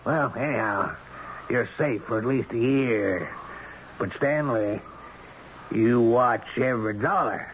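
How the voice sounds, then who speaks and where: A dull old radio recording with faint noise frames a male actor as a dogged, protective businessman. He speaks at a middle pitch, conversationally but with firm emphasis, as in a 1970s radio play. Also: takes it slowly, natural voice. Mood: animated, recounting. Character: businessman recounting office battles, dogged and protective. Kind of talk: 1970s radio drama acting.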